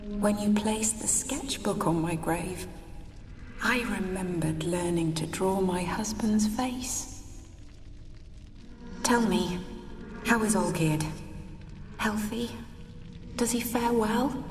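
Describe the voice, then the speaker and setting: Woman doing sad ghost wife in a fantasy game, really slow n hushed, big echoey reverb. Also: medium pitch, soft background music, close to an everyday voice. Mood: wistful, mournful. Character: mournful ghost of a forsaken wife, wistful and fragile. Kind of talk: dramatic video game dialogue. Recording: reverberant, distant hall effect.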